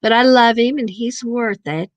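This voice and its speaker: A woman's voice: a little high, moderate pace, no echo. A woman from southern Missouri with a southern Missouri accent, chatting casually with viewers as host of a livestream. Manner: chatty, reads viewer chat aloud. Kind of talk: casual live chat.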